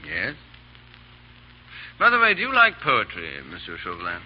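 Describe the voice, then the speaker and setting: An actor playing a gallant, suave English aristocrat in 1930s radio drama, with mockingly courteous manners. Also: male voice, mid-range voice, huge pitch swings, moderate pace. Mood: composed, wistful. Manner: urbane, mockingly courteous, drawling politeness. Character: gallant, suave, foppish English aristocrat hero, calm under threat. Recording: muffled, noisy 1930s radio broadcast.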